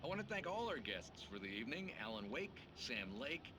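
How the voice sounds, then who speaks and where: An actor playing a jokey, showy late-night TV talk show host in a video game. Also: male voice, mid-range voice, sing-song, fairly quick, noisy background, close to an everyday voice.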